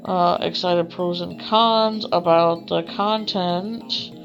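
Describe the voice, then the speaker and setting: Through a slightly muffled, lo-fi mic with music behind, a woman narrates a visual novel for a let's play. Her voice sits a little low for a woman. She reads the text aloud haltingly, correcting herself as she goes. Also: steady tone. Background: game music.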